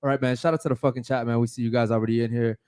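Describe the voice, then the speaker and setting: A young man hosting a live podcast, loose and hyped, giving shout-outs with fillers and casual swearing. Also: mid-range voice, flat delivery, rapid-fire, clear, resonant tone, dry recording, clean studio sound. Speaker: podcast host. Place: podcast studio with a panel of guests.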